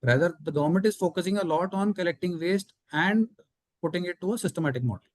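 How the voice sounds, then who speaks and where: A man's voice: high voice, quick pace, a little mellow, no echo, studio quality. A man with an Indian accent, a company executive giving formal, methodical answers on an earnings call.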